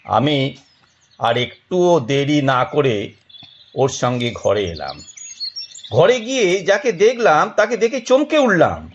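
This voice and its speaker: A man narrating a scripted story dramatically, in a measured and expressive way. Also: on the high side, dull audio, a little hiss or hum.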